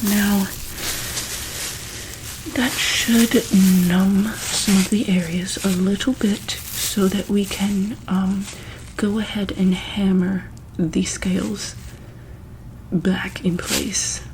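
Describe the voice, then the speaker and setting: Woman, ASMR medic roleplay, soft and whispery, slow pace, even pitch, gentle and reassuring, noisy recording. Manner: gentle, reassuring, unhurried bedside manner. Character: calm, caring female medic at a monster hospital. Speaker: ASMR roleplayer playing a monster hospital medic.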